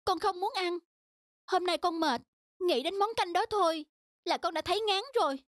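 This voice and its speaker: A high-voiced, plaintive cartoon schoolgirl. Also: moderate pace, no room sound, clean studio sound. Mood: weary. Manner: plaintive, complaining. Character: weary, stubborn schoolgirl daughter, emotional and pleading. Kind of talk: cartoon drama acting. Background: children's style background music.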